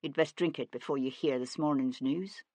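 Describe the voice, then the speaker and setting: A woman's voice: extremely low pitch, normal speed, warm tone, no room sound. A woman voicing a sympathetic man in an audiobook, a plain-spoken friend who is terse and subdued. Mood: sombre sympathy.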